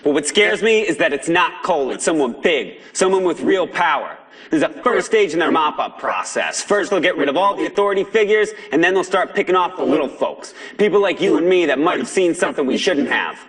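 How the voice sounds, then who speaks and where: A paranoid American pirate radio host from a video game. His high voice swings widely and has a sharp, forceful edge. He rants with sarcasm and indignation, defiant toward authority and addressing listeners like comrades. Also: lively intonation, brisk. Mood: grave warning. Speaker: underground broadcaster. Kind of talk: impassioned pirate broadcast rant.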